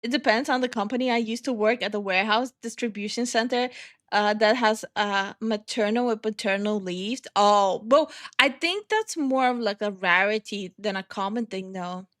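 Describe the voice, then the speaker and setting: A woman's voice: medium pitch, moderate pace, fairly bright tone. A woman from Estonia hosting a casual live stream, ranting heatedly, swearing often, and greeting viewers in chat. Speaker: streamer and channel host.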